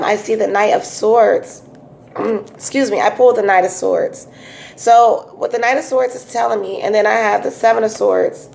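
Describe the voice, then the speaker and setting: A woman with a raspy voice giving a casual, chatty tarot reading, full of fillers and restarts. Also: medium pitch, a little mellow, a little hiss or hum. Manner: chatty, repetitive, many um fillers. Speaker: tarot reader.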